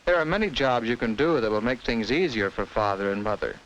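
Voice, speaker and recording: A man's voice: mid-range voice, a little fast, a bit bright, muffled recording, a little hiss or hum. An American man narrating a 1950s educational film in simple, instructive storytelling addressed to children, on a vintage film soundtrack.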